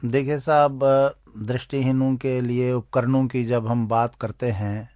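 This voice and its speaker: A man with an Indian accent giving explanatory interview answers as an expert, speaking in deliberate, halting phrases. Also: medium pitch, little pitch movement, darkish tone, dry recording, clean studio sound. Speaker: interviewed expert on aids for blind people.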